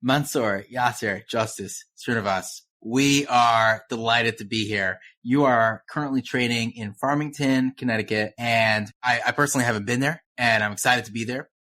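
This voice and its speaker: A man's voice: medium pitch, normal speed, hardly any pauses, bright, forceful tone. A man co-hosting a podcast, chatting in a friendly way with the guests. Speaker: podcast co-host.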